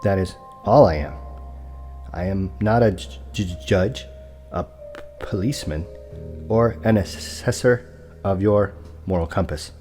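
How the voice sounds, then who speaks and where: An actor playing an unflappable, formal property broker in an audio drama, polite and precise, with a stutter on hard consonants. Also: male voice, deep voice, unhurried, long pauses, darkish tone, faint music behind. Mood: quietly firm.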